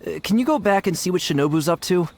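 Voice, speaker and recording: An actor playing a cowardly, scatterbrained young gang member in a video game, talking in a rambling, slangy way. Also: male voice, high voice, animated, fast talker, gravelly, slight background noise. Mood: anxious. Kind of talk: animated video game voice acting.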